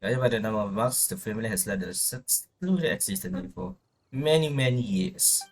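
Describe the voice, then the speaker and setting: Lively male game streamer reading aloud. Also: on the low side, moderate pace. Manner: casual, thinking aloud.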